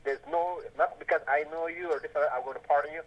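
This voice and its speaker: A man's voice: on the high side, fairly even intonation, fast talker. A man with a Belizean accent calling a radio show over the phone, stern and emphatic as he complains. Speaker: radio caller, tour guide. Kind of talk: casual phone-in opinion. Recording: dull lo-fi phone line.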